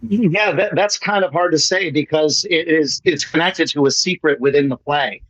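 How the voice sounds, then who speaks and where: A man's voice: a little high, normal speed, fairly bright tone, no echo. An American man chatting casually and enthusiastically, with a jokey manner, as a playwright in an online meeting. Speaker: playwright presenting his play at an online meeting. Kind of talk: casual chat. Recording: muffled lo-fi online meeting audio.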